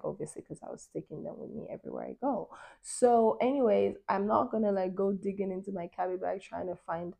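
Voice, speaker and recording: A young woman from Nigeria telling a travel story in a chatty, animated way, with lots of fillers and little laughs. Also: fairly low pitch, creaky. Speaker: travel vlogger. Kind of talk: casual storytelling to camera.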